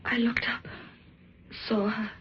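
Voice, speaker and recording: A woman's voice: fairly high pitch, flat delivery, slow pace. An actress playing a frightened, hysterical woman haunted by her torment, melodramatic and breathless, in old-time radio suspense style. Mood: haunted dread. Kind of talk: old-time radio suspense acting, first-person narration. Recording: noisy, dull vintage radio recording.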